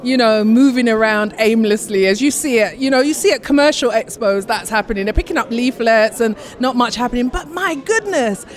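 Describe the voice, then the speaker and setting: A woman's voice: a little high, brisk, few pauses. A woman attendee giving an effusive, casual interview response in a large hall. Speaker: event attendee.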